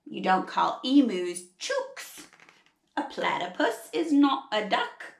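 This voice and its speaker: A woman's voice: mid-range voice, huge pitch swings, takes it slowly, vocal fry, roomy sound, full character voice. A woman voicing an indignant male koala from a children's picture book, complaining emphatically in rhyme with an attempted Australian accent. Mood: protesting. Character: indignant male koala fed up with being called bear.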